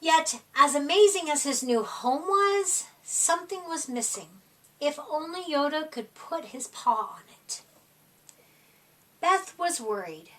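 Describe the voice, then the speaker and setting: A woman with a high-pitched voice reads a children's story slowly and dramatically. She stretches key words for young listeners in an animated storytime delivery. The voice sits a little way from the mic. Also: recorded from a distance. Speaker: storyteller reading a children's picture book. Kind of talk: expressive children's storytime read-aloud.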